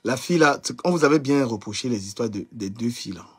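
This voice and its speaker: A man's voice: mid-range voice, expressive, fast, husky. A man commenting bluntly and mockingly on online gossip during a live stream.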